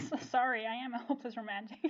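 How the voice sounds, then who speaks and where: A woman's voice: a little high, steady tone, quick pace, dull audio, crowd noise. A woman chatting casually as a podcast guest, giggly and peppering her talk with "like". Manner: giggly, uses "like" often. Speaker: podcast guest, stage actor. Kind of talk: casual chat.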